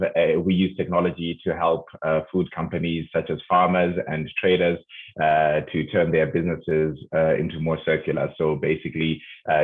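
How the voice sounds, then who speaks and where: A man from Zimbabwe, a guest entrepreneur, answering earnestly with many fillers over a video call. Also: a bass voice. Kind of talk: interview answers. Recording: tinny phone-like video call.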